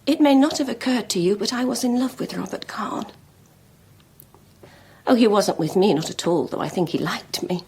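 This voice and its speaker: An actress playing a proud, lovelorn schoolmistress in a period radio drama, speaking frankly and deliberately with emotional intensity. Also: female voice, mid-range voice, gravelly, darkish tone, a little hiss or hum, lightly acted. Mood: confessing. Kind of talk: BBC radio drama acting.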